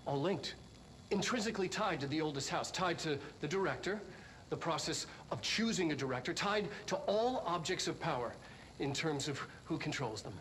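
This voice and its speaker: Game role: eccentric middle-aged male scientist, higher voice, halting lecture delivery, faint background noise. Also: expressive, fairly bright tone, low-quality recording. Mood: earnest lecturing. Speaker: head of research. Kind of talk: in-world instructional video presentation.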